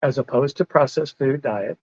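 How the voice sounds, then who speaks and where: A man's voice: mid-range voice, normal speed, a little mellow, breathy edge, lo-fi sound. A man in a casual podcast conversation, explaining whole foods in a patient way and laughing often. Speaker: lifestyle medicine advocate.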